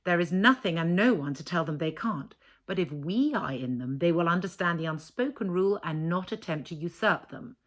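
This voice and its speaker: A woman's voice: fairly low pitch, animated, normal speed. Storybook queen bee played by a woman reader: haughty, genteel, competitive, condescending. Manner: patronising, genteel, airy. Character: snobbish, competitive, self-satisfied village queen bee wife.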